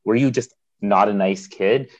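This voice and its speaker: A man's voice: fairly low pitch, unhurried, highs cut off. A man in a casual conversation with an old friend, talking things through in a reflective way with restarts and chuckles. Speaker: conversation partner, longtime friend. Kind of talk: casual chat.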